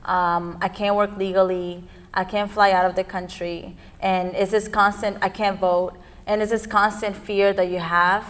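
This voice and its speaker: A woman's voice: mid-range voice, flat delivery, normal speed, few pauses. A young woman from Peru, a youth immigrant activist, giving earnest interview answers with frequent ums. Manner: earnest, many fillers.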